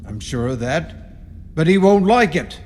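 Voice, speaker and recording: A man's voice: fairly high pitch, expressive, measured pace, darkish tone, a little hiss or hum. A man playing an ominous rural caretaker in a radio horror play, giving grim, cryptic warnings in folksy country grammar. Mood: grimly foreboding. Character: ominous rustic caretaker, grimly warning intruders. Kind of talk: radio horror drama acting. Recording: long reverb with music behind.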